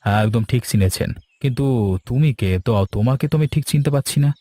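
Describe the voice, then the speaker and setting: Man acting mellow-voiced village man. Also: mid-range voice, quick pace, no room sound, lightly acted. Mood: puzzled, calculating. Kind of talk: scripted cartoon dialogue. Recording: music behind the voice. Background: background music.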